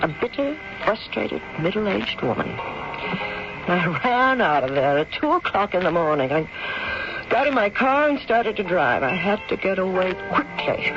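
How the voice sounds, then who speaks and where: An actress playing a bitter, frustrated middle-aged woman, speaking in an intense, halting confessional style for radio mystery. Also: mid-range voice, big pitch swings. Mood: haunted, tense. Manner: dramatic, halting confessional monologue. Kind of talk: 1970s radio mystery acting. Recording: dull lo-fi radio broadcast, music.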